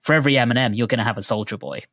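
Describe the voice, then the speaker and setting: A man's voice: mid-range voice, rapid-fire, background noise. A man co-hosting a podcast, talking casually and reflectively as he explains his thoughts on music. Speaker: podcast host.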